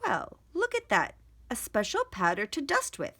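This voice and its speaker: A woman's voice: a little high, huge pitch swings, normal speed, bright, forceful tone, full character voice. A woman voicing a cheerful, naive, literal-minded housemaid in a children's storybook, with an earnest, folksy, exclamatory way of talking. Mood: excited.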